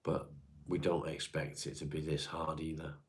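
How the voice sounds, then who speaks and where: Deep-voiced congested man. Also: little pitch movement, moderate pace. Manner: chatty, answers viewer comments one by one, calls people mate. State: congested, stuffy nose. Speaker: livestream host reading chat. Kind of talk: casual livestream chat.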